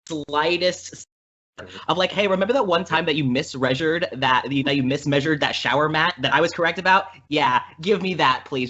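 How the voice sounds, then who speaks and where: A man's voice: fairly high pitch, sharp tone. A man speaking as a podcast guest in casual conversation, earnest and rambling, repeating phrases for emphasis. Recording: dull lo-fi podcast audio.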